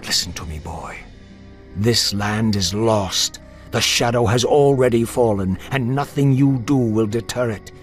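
Prophet role: male performer as a grave, cryptic seer, somewhat low voice, soft music beneath, fantasy game. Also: a little slow, natural voice. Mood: grave warning. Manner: solemn, portentous, urgent. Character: grave, mysterious male prophet warning of doom. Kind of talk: video game cutscene acting.

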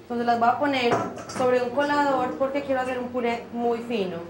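A young woman hosting a cooking show, explaining each step to viewers in a brisk, friendly way from a small studio kitchen. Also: on the high side, fairly even intonation, crowd noise, poor audio. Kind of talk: friendly televised cooking lesson.

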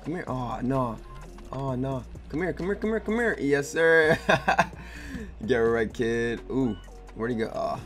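Young male gaming host, voice going hoarse, excitable slangy play-by-play, light game music behind. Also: medium pitch, slow. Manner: excitable, laughing, trash-talking slang. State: hoarse, losing his voice. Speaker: gaming YouTuber. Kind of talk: casual gameplay commentary.

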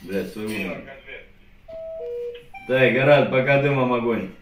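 A man's voice: medium pitch, measured pace, over music. A man giving urgent, profane, repeated short commands while directing a military assault and commenting as it happens. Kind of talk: live battlefield radio commands and commentary. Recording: echoey room, far from the mic.

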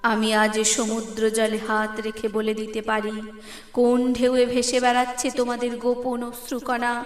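A woman reciting poetry dramatically, with expressive, deliberate phrasing and pauses. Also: a little high. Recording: echoey, long reverb, music underneath. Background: background music.

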